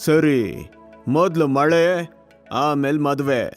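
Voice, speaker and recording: A stern older village elder in a cartoon moral tale. He speaks with weight and authority, gruffly questioning the young. Light music sits underneath. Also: fairly high pitch, little pitch movement, takes it slowly. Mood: surprised. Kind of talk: children's cartoon story dialogue.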